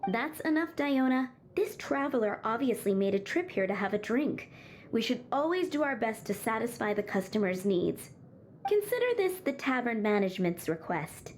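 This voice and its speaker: A woman's voice: fairly high pitch, sing-song, normal speed, slight background noise. A woman playing a courteous but firm female tavern manager in an anime game, composed and polite.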